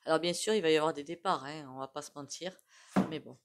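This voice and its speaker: Woman, tarot reader, chatty, rambling, rather low voice. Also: fast talker, noisy background. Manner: chatty, digressive, with fillers and throat clearing. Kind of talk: casual tarot reading to camera.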